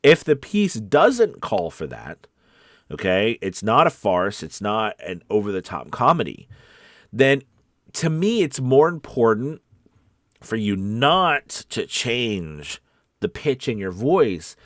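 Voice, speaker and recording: A man's voice: fairly low pitch, big pitch swings, slow pace, fairly bright tone, dry recording, studio quality. A man coaching voice-over in a casual, conversational live talk, encouraging in manner, with a slight case of the sniffles. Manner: encouraging, conversational, often checks in with "okay" and "right". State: sniffly with a cold or weather sniffles.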